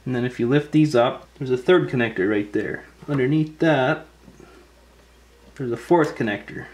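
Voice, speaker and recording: A man's voice: medium pitch, normal speed, darkish tone. A man giving casual, step-by-step hands-on instructions in a plain-spoken, informal way. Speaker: repair tutorial host.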